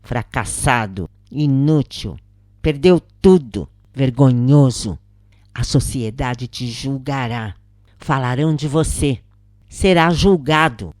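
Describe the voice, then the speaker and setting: A woman narrator voicing a dark inner voice that scornfully and insistently condemns, in a dramatic story reading. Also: rumbling low, slow pace, dry recording, studio quality. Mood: scornful, accusing. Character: harsh accusing dark inner voice urging despair.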